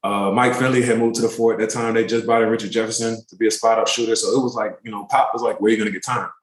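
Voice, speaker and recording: A man in his thirties, a pro basketball player, telling relaxed, rambling stories in a casual interview. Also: on the low side, very fast, echoey room. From born in Turkey, raised in the DC area. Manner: relaxed, rambling, many fillers.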